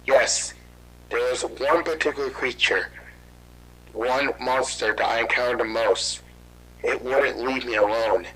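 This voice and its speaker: A man's voice: medium pitch, background noise, lightly acted. An actor playing a frightened man hunted by monsters, rambling anxiously and swearing in his panic. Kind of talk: amateur horror audio drama.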